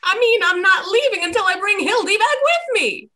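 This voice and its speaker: A woman's voice: extremely high pitch, lively intonation, fairly quick, pushed and bright, voice pushed up, heavily acted. A woman playing Luigi as an earnest, stubborn plumber in an amateur script reading. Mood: determined, earnest.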